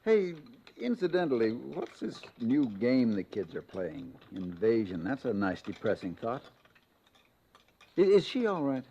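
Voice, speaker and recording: A man's voice: medium pitch, very animated, measured pace, dark tone, slightly rough, lo-fi sound, heavily acted. An actor playing an easygoing but concerned American husband and father in an old-time radio drama. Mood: relaxed. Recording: noisy vintage radio recording.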